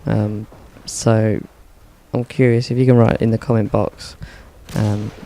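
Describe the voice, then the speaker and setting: A man giving casual, monotone commentary over his own gameplay, with dry humour and many hesitations. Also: fairly low pitch, measured pace. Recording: game gunfire in the background.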